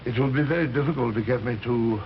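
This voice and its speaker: An actor playing a genial, eccentric scientist villain in an old broadcast drama, speaking with hesitant, unrepentant politeness. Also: male voice, medium pitch, lightly acted. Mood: mild regret. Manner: courteous, hesitant, unhurried politeness. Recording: muffled vintage broadcast, faint noise.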